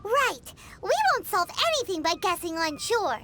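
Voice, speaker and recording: A woman voicing a tiny childlike fairy companion, perky and blunt, in an animated video game. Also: very high-pitched, normal speed, a little hiss or hum, full character voice. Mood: puzzled. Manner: refers to self in third person, exclaiming. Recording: loud music behind.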